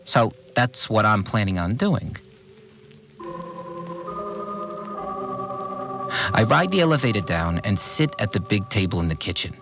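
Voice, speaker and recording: Irritable male cleaner, fastidious, blunt complaints, very animated pitch, audio drama diary, music underneath. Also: creaky. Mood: uneasy.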